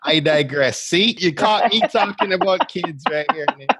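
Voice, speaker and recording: A man's voice: on the high side, animated, normal speed, a bit bright, breathy edge, dry recording, clean studio sound. Chatty middle-aged man hosting a podcast. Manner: affirming, chatty, frequent "right?". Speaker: podcast host. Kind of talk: conversational interview.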